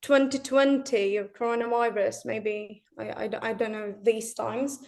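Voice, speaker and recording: A woman's voice: fairly high pitch, fairly even intonation, unhurried, vocal fry, darkish tone. A young woman from Turkey, answering interview questions in English in a hesitant way, often repeating her words. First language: Turkish. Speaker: interview guest, English learner.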